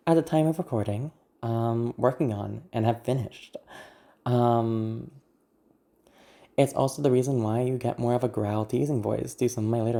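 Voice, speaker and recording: An ASMR content creator with a naturally gravelly, growly voice, talking to viewers in a candid, self-deprecating, rambling way. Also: medium pitch, warm tone. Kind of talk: casual personal talk to viewers.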